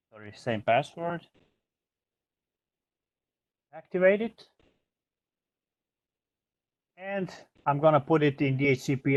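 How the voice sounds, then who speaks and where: Guy doing a tech product tutorial, mid pitch, dry close sound, kinda hesitant step by step. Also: dry recording, dull audio. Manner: informal, hesitant step-by-step explaining. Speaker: product trainer. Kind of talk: software tutorial demonstration.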